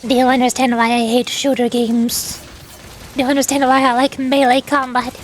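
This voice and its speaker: A woman's voice: high voice, level tone, moderate pace, slight background noise. A woman streamer giving casual, chatty, opinionated gaming commentary in a rambling way. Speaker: VTuber streamer.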